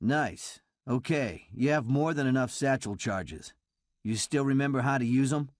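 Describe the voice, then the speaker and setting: An actor playing a calm, dry-witted male resistance comrade who talks in an encouraging way. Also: medium pitch, moderate pace, no room sound, close to an everyday voice.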